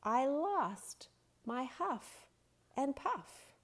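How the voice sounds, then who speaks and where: Woman reading as a wheezy, stammering storybook wolf, pitched up and slow, worn out. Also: very animated, full character voice. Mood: breathless. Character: breathless anxious male wolf, short-tempered.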